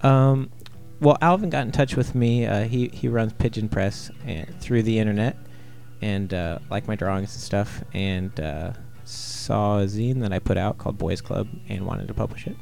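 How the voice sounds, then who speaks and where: Gravelly, laid-back man in his thirties. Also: fairly low pitch, faint music behind. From Ohio, USA. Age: 30s. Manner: laid-back, deadpan humor, halting with many "uh"s. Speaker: guest artist. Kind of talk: casual interview answers.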